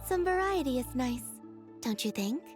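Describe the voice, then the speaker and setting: A woman voicing a serene, polite young moon maiden in a video game, with a soft, airy voice and a gentle, measured, formal way of speaking. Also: sits high, expressive. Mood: tender. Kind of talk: scripted video game voice acting. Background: faint music.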